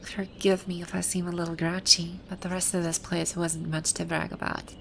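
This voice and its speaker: A woman playing a haughty, grouchy video game villain boss with a secretly soft side, speaking in imperious, formal grumbles in an ASMR roleplay. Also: lowish voice, level tone, normal speed, vocal fry, a little hiss or hum, low-quality recording. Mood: musing, grouchy. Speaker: audio roleplay voice actor.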